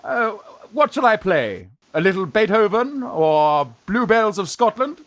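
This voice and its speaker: A man in a radio farce playing a young fellow who poses as a gruff, flustered old aunt, halting and blustering. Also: high voice, very animated, fairly bright tone, dry recording, full character voice. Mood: evasive, chatty. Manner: blustering, halting, repeats words. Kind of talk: stage farce radio acting.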